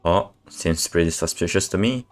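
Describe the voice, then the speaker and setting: Deep voiced guy streaming a game, mumbling little casual reactions, some swearing, faint music behind. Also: normal speed. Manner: muttering brief reactions, mild swearing. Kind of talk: casual live stream commentary.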